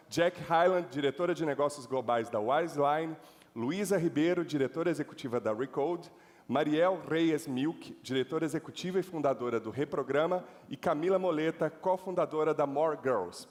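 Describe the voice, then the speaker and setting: A man's voice: a little high, normal speed. A man hosting an event, formally announcing the panelists one by one. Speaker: event host. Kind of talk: stage announcement. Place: conference stage in a large hall. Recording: long hall reverb.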